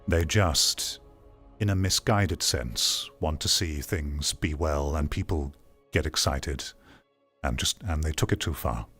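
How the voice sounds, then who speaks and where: Deep-voiced man giving opinionated commentary. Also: takes it slowly, fairly bright tone, breathy edge, faint music behind. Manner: opinionated, emphatic, repeats phrases for stress. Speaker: streamer hosting a VR gaming commentary show. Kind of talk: off-the-cuff critical commentary reacting to a video.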